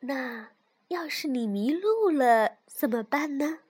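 Woman as a gentle, anxious mother fox. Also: high voice, slow. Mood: worried, curious. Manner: expressive, coaxing.

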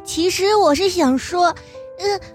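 A woman's voice: extremely high pitch, a little slow, pitched above the performer's own voice. A woman voicing a coy, pouty young girl in a mock-indignant comic radio skit. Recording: piano music behind the voice.

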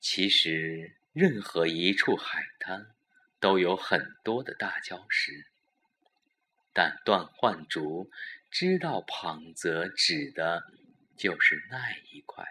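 A man giving a measured, dramatic scripted narration of a suspense story. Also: low voice, animated, sharp tone.

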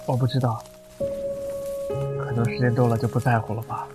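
A man playing a gentle, awkward, conscientious stranded traveler in a sci-fi radio drama. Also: medium pitch, level tone, a little fast, close to an everyday voice. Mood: gentle, subdued. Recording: music bed under the voice.

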